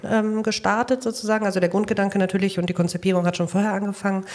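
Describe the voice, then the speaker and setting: A woman's voice: medium pitch, level tone, racing, a little mellow. A woman from Italy, a nursing school teacher giving detailed, explanatory answers in an interview.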